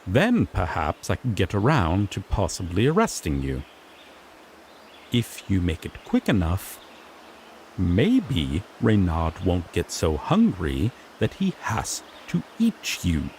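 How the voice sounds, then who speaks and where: A man acts out an exasperated male griffin knight in a fantasy roleplay. His lowish voice swoops dramatically between pompous proclamation and sassy, sardonic grumbling. Faint noise sits behind him, with outdoor nature sounds. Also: wildly swooping pitch, slow pace, a little mellow, full character voice. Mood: resigned, wry. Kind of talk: acted fantasy audio roleplay.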